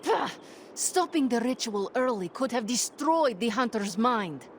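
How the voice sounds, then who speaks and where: A woman's voice: fairly high pitch, lively intonation, a little slow, a little hiss or hum. An actress playing a stern, impatient young sorceress mentor in a video game, formal and imperious in her speech. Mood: angry.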